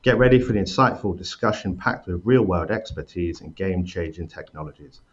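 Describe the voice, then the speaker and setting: A man hosting a podcast, reading a brisk introduction and asking upbeat questions. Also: sits low, lively intonation, muffled recording. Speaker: podcast host. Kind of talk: scripted intro then interview chat.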